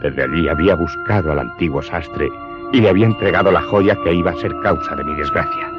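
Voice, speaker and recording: A man's voice: sits low, lightly acted. An actor playing a haunted, humble Corsican smuggler turned servant who confesses his story in a radio drama. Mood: horrified, troubled. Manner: deferential, haunted, deliberate storytelling. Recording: muffled audio under prominent music.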